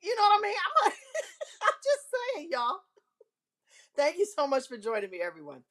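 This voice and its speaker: A woman hosting a live online show, telling a candid personal story to her viewers in a big, carrying voice, frank and self-mocking, often clearing her throat. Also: sits high, wildly swooping pitch, normal speed, fairly bright tone.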